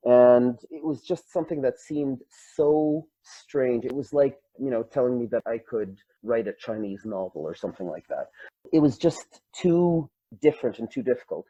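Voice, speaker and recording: A man's voice: medium pitch, lively intonation, moderate pace, warm tone, slightly rough, dry recording. A man, patiently explaining grammar with examples as an Arabic instructor. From Canada. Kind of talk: lecture. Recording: dull, dry lo-fi.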